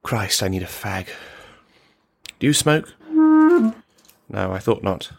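A man's voice: fairly low pitch, huge pitch swings, takes it slowly, gravelly, husky, soft background music, full character voice. A man performing a radio play monologue as a bitter, brittle, menacing army veteran, rambling and brooding as he addresses a silent listener. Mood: irritated, menacing.

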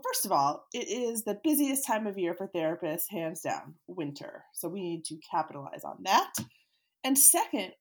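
A woman's voice: mid-range voice, breathy edge. Scratchy-voiced woman podcaster with a cold. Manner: chatty, animated, laughs easily, occasional fillers. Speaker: podcast host and therapist. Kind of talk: solo podcast talk, teaching.

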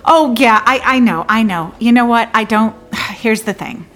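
An actress playing a disillusioned woman lawyer in a theatrical monologue, confiding in a halting, wry and bitter way with abrupt swings. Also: on the high side, fairly bright tone, lightly acted. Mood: rueful.

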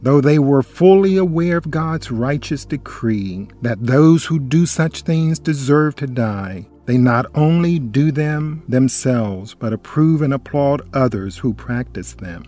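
A man's voice: medium pitch, expressive, unhurried, few pauses, mellow, faint music behind. A man presenting a scripted radio Bible study, reading scripture and asking the listener earnest, admonishing questions. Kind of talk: scripted religious narration.

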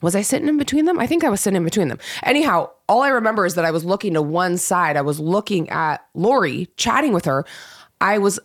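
A woman in her thirties chats on a podcast, her voice roughened and raspy from a recent illness. She tells stories in an animated, conversational way. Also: mid-range voice, fast. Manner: animated storytelling, laughs often. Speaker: podcast co-host. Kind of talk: casual chat.

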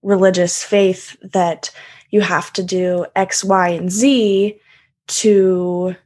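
A woman's voice: fairly low pitch, little pitch movement, slow pace, a little mellow. A young woman hosting a podcast, speaking thoughtfully and a little hesitantly as she shares her views in casual conversation. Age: young adult. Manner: engaged listener, thoughtful and hesitant when sharing views. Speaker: podcast host.

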